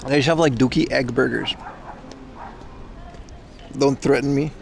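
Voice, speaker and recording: A man's voice: medium pitch, fairly even intonation, unhurried, creaky. A man livestreaming outdoors by a railway platform, chatting casually with viewers and teasing them in mock insults. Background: faint trains and city traffic.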